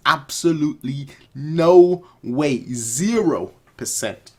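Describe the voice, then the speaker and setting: A man with a mid-range voice talks off the cuff as a reaction video host. He rambles and doubles back on himself. His opinions come out emphatically, with key phrases said over again. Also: medium pitch. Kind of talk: casual off-the-cuff commentary.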